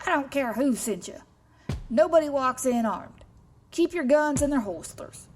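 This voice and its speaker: An actress playing a tough, suspicious guard, brusque and commanding, in a sci-fi military audio drama. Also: female voice, sits high, expressive, a little slow, a little mellow, faint music behind. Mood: stern.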